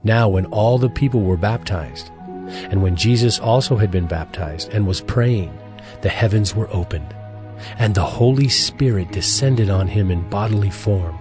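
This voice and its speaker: Low male narrator over music. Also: moderate pace. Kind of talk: scripted audio Bible narration. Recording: music clearly behind the voice.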